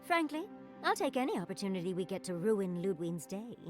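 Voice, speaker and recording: A woman's voice: mid-range voice, big pitch swings, moderate pace, faint music behind, close to an everyday voice. A woman acting a plucky, spirited adventuring companion in a video game, playful in manner. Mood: cheeky optimism. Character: plucky, cheeky, upbeat female adventuring companion.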